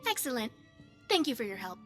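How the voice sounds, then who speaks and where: A woman playing a poised, secretive spy devoted to her goddess in a video game, speaking in formal, measured phrases. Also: on the high side, sing-song, over music. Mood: composed, discreet. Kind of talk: scripted video game character dialogue.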